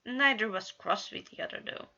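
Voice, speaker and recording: Bright-voiced woman reading a story, lo-fi. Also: mid-range voice, normal speed. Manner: stumbles over words and corrects herself. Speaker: amateur fan fiction reader. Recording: dull lo-fi home recording.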